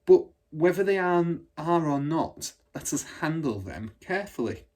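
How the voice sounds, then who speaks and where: A man's voice: on the high side, big pitch swings, measured pace, a little mellow. A man voicing a kind, patient and cautious woman schoolteacher in a children's storybook, speaking in a careful, explanatory way. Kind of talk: children's storybook read aloud.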